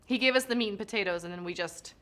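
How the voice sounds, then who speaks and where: A woman's voice: medium pitch, fast, a bit bright. A woman actor chatting casually in an interview, full of "um"s and "like"s. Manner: chatty, many fillers and likes.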